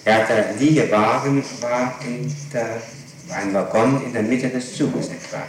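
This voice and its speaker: A man's voice: mid-range voice, fairly even intonation, measured pace. A man questioning formally and methodically as a prosecutor in court, pressing insistently for details. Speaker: public prosecutor. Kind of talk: courtroom interrogation. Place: large echoing room, distant mic. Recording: very echoey, noisy archival tape, far off.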